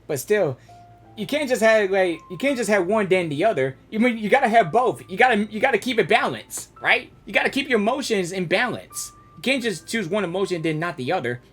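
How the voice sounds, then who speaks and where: A man's voice: high-pitched, animated, brisk, a bit bright, slightly rough, faint music behind. A man giving casual, informal reaction commentary as a gaming streamer, rambling and repeating himself.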